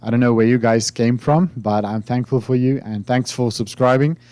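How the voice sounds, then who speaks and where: A man's voice: medium pitch, steady tone, normal speed, mellow, no room sound. Hesitant South African man, dry sound. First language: Afrikaans. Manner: rambling, hesitant, many fillers and restarts. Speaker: finance YouTuber. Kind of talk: informal talk to camera.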